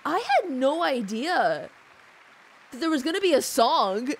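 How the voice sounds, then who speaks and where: Gushing, higher-voiced woman streamer. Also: big pitch swings, measured pace, slight background noise. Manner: gushing, cooing. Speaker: live streamer. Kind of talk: casual reaction commentary to a game. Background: game music.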